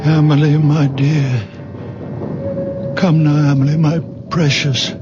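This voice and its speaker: Very old man, gentle and absent-minded, unhurried halting delivery, muffled vintage radio drama with hiss. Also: fairly high pitch, little pitch movement, loud music behind the voice. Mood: tender pleading. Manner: halting, murmuring, tender. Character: very old widowed father, gentle, absent-minded, tenderly grieving. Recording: dull, noisy old radio broadcast.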